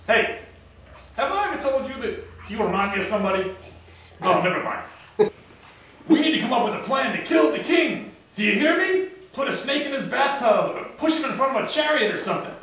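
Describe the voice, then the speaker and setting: Man doing a grumbling, high-voiced puppet palace guard. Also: expressive, normal speed, long pauses, a bit bright. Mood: conspiratorial, grumbling. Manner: conspiratorial, comic. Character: disgruntled, bumbling male palace guard plotting against the king. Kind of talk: amateur puppet show acting for children. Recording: echoey room, distant mic, background noise.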